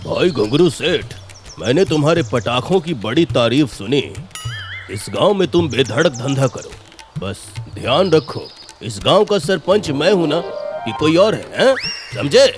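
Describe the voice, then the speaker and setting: Guy doing a crooked village boss, slow drawl that swoops all over, cartoon, loud music. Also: a little high, very animated. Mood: pompous, sly. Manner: self-important, drawling. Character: pompous, corrupt male village headman in a cartoon.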